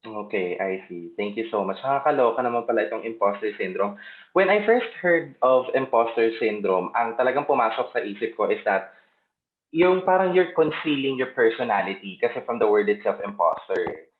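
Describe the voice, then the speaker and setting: Animated Filipino man on a tinny line. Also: lowish voice, fairly quick. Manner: animated, giggly, dramatic exclamations. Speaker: podcast co-host. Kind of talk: casual chat, code-switching. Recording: tinny phone-like line in an echoey room.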